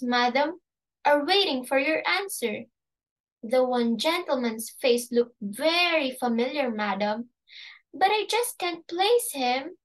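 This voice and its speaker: Woman acting a high-voiced, deferential household servant. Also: low-quality recording. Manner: formal, dutiful reporting. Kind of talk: amateur dramatic reading of a stage comedy.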